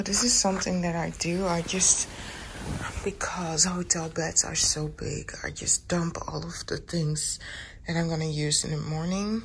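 A woman vlogging casually from a hotel room in the morning, her voice raspy and often dropping to a whisper. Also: on the low side, slight background noise. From Netherlands. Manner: soft, often whispering in the dark.

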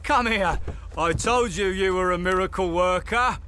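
An actor playing a cocky, laddish club promoter in a video game, with a British accent and a teasing, swaggering manner. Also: male voice, high-pitched, normal speed, pushed and bright, a little hiss or hum. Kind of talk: video game cutscene acting.

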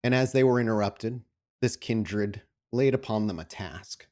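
A man's voice: lowish voice, measured pace, darkish tone. A man narrating a tabletop role-play scene as the storyteller, setting it out descriptively. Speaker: game master, storyteller.

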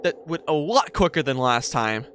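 A man's voice: fairly high pitch, lively intonation, normal speed, noisy background. A young man giving casual, sweary, slangy reactions to a horror game, jumpy and exclaiming, on a close desk mic. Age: young adult. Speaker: gaming video host. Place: small indoor gaming room. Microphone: close desk microphone, headset on.

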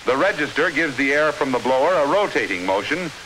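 An American man reading scripted 1950s instructional film narration in a measured, clearly enunciated, authoritative manner. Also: mid-range voice, sing-song, a bit bright, poor audio. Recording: hissy 1950s film soundtrack.

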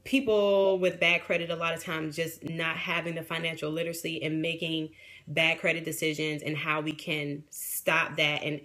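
A woman in her thirties, a realtor, chatting frankly with viewers on a phone livestream, her voice a bit raspy from a sinus infection. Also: on the low side, a bit bright. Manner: frank, chatty, reads out and answers viewer comments. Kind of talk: casual livestream chat with viewers. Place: small room indoors. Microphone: phone front camera at arm's length, neckband headset.

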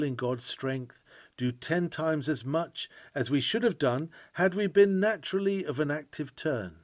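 Higher-pitched man reading a sermon. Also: darkish tone, dry recording. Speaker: sermon reader.

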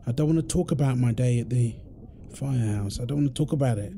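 A man's voice: mid-range voice, quick pace, vocal fry, warm tone, a little hiss or hum, lightly acted. A man playing a tired, irritable firefighter husband in an intimate roleplay audio drama. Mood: weary, apologetic. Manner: weary sighs, snappy, then apologetic.

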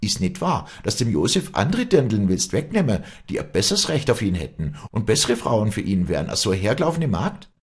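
A man's voice: low voice, lively intonation, a little fast, breathy edge, pitched above the performer's own voice, heavily acted. A man voicing a proud, hot-tempered, jealous young peasant woman in Alpine Bavarian dialect, scornful and hectoring, in a storybook reading. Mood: furious accusing.

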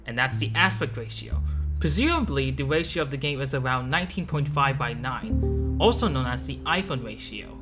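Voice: medium pitch, animated, moderate pace. A video essay host narrating from a script in a casual, joking manner. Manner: casual, joking, with playful exclamations. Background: music behind the voice.

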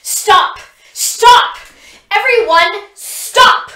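A woman voicing a fierce young female adventurer who barks loud commands. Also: very high voice, very slow, voice pushed up, heavily acted. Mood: commanding. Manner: shouting commands. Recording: echoey room.

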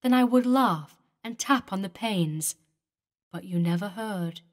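Gentle young ghost woman, calm, sweet, mournful, read by a woman narrator. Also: fairly high pitch, measured pace, voice pushed up. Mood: wistful.

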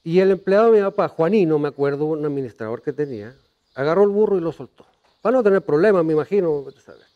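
A Chilean man in his fifties, a horse trainer, telling stories in an outdoor ranch interview, trailing off softly and restarting his phrases. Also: fairly high pitch, expressive, quick pace, dull audio. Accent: Chilean Spanish with Mexican influence. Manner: warm storyteller, many restarts, trails off softly. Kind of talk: storytelling interview. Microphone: lapel.

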